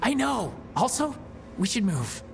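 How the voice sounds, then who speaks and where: A man playing a practical young male adventurer in a video game, speaking in a direct, brisk way. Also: very high-pitched, big pitch swings, gravelly, faint music behind, exaggerated voice. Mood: urgent.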